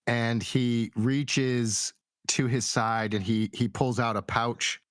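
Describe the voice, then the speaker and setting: A man's voice: mid-range voice, fairly even intonation, takes it slowly, clear, resonant tone, dry recording, studio quality. A man acting as dungeon master, narrating a tabletop game and explaining it casually.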